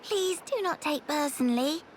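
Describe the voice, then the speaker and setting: A woman's voice: high voice, expressive, moderate pace, a little hiss or hum. A woman voicing a small, childlike, eager creature that talks in quaint broken sentences in a video game. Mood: cheerful, polite. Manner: cutesy, broken grammar in third person. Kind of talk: video game cutscene acting.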